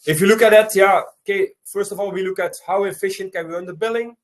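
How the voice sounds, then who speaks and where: A man from Belgium with a Belgian accent, English not his first language, giving an online conference presentation over a video call, halting, with many fillers and restarts. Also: high-pitched, fairly even intonation.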